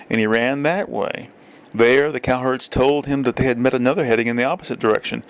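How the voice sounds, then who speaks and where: Measured male storybook narrator. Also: medium pitch. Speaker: audiobook reader.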